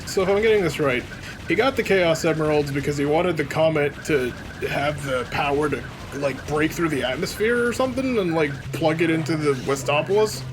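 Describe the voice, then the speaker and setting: Higher-voiced sarcastic man over loud music. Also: fairly quick, rough recording. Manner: sarcastic, mocking. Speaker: let's play commentator. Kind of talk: casual joking game commentary. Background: loud game music.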